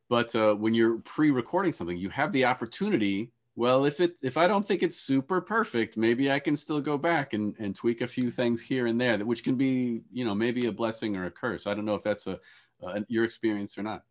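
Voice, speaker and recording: A middle-aged man with a medium-pitched voice hosts a conversation. He talks casually, posing questions and thoughts over a video call. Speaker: interview host, academic. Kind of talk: casual moderated discussion. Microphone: wired earphones on a video call.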